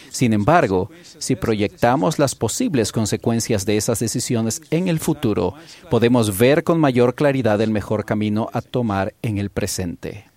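A man delivering a church sermon in a calm, deliberate and reverent way, as an interpreter. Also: lowish voice.

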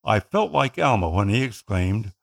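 Man, Tagalog speaker, slightly gravelly, earnest narrator for a scripted personal story. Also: lowish voice, sing-song. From Philippines. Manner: earnest, measured reading.

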